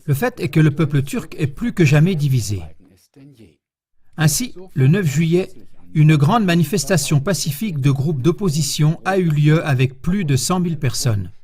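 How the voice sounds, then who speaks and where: A man reading a scripted news report in a measured, formal voice-over. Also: fairly high pitch, lively intonation, darkish tone. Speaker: news narrator.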